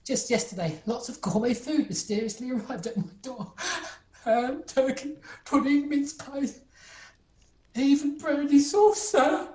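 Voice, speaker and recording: A woman's voice: sits high, measured pace, warm tone. A woman playing a meek, anxious male clerk in an amateur radio play, deferential, stammering and flustered. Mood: bewildered. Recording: roomy, echoing home recording.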